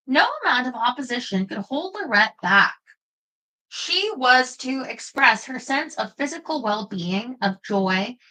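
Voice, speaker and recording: A woman reading a biography aloud in a measured, expressive storytelling manner. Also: on the high side, poor audio. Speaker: narrator.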